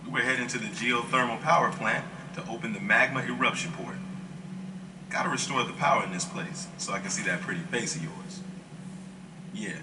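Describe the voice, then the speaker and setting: A man's voice: mid-range voice, brisk, close to an everyday voice. An actor playing an easygoing, teasing male soldier in a game cutscene, talking in a casual, laid-back way. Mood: musing. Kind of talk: video game cutscene acting. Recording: roomy, distant, noisy ambience.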